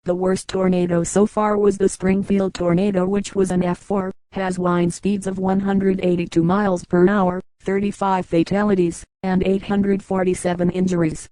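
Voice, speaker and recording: Female news announcer, mellow and level, delivering scripted breaking reports, very dry recording. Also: mid-range voice, flat delivery, normal speed, hardly any pauses, dry recording, rough recording.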